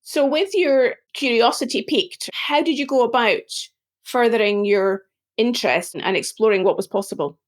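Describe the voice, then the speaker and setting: A woman hosting a podcast, introducing her guest and asking gentle, open questions. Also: fairly high pitch, moderate pace. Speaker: podcast host. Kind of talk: interview hosting.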